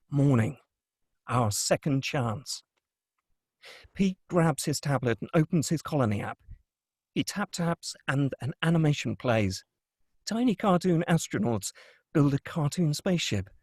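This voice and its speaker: A man's voice: fairly high pitch, a little mellow, no room sound. Dry, close-miked male screenplay narrator. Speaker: narrator reading stage directions. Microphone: close, dry microphone.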